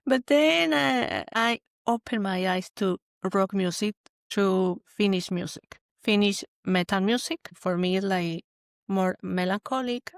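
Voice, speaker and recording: A woman from Mexico, a guest chatting casually and halting as she searches for words. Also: medium pitch, creaky, no room sound, clean studio sound. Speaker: guest, metal journalist. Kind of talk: casual chat.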